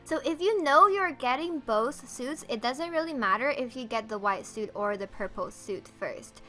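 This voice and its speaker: Female game guide host: high, bright voice, casual explainer delivery. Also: lively intonation, normal speed, faint music behind.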